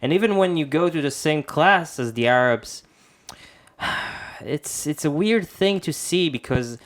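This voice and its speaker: A man co-hosting a podcast, asking quick questions in casual chat. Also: on the high side, expressive, a bit bright. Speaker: podcast co-host.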